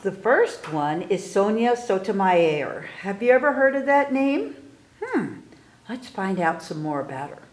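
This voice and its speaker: A woman hosting a library story time, reading aloud to children with deliberate phrasing. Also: medium pitch, sing-song. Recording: roomy, echoey indoor sound.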